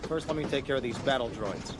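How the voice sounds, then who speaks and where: An actor playing a calm, self-assured warrior mystic in a sci-fi audio drama, unhurried and solemn. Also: male voice, mid-range voice, noisy background, natural voice.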